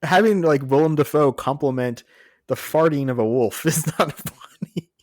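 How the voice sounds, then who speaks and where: A man's voice: a little high, moderate pace, a little mellow, no room sound, studio quality. A man co-hosting a podcast, chatting casually about entertainment in a jokey, exclaiming storyteller's way. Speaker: podcast co-host. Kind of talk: casual film and TV news chat.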